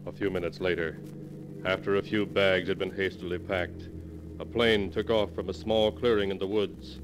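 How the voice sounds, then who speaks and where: A man's voice: sits low, steady tone, moderate pace. A man narrating a mystery tale in 1940s radio style, as a scripted storyteller. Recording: old radio recording with music. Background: dramatic instrumental music.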